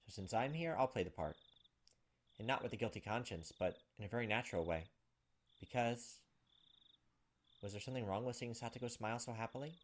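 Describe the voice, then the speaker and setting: Fast-talking man reading a visual novel aloud. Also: medium pitch, expressive. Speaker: gaming video host. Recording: game music behind the voice. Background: video game music.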